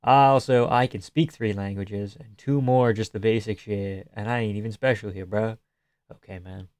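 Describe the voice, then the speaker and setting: Young canadian guy on a live stream, sarcastic, swears a lot, smooth voice, dry close mic. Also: fairly low pitch, fairly even intonation, moderate pace, a little mellow, no echo. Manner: casual, sarcastic, swears freely. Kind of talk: live stream fight chat reading viewer comments.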